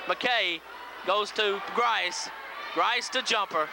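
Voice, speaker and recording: A man with a strikingly high-pitched voice calls a high school basketball game for local radio. He follows the ball in short, clipped phrases. The audio is a noisy 1980s tape, with the gym crowd clearly heard behind him. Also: takes it slowly. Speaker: radio play-by-play sports announcer. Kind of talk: live high school basketball play-by-play commentary. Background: loud gym crowd and noise.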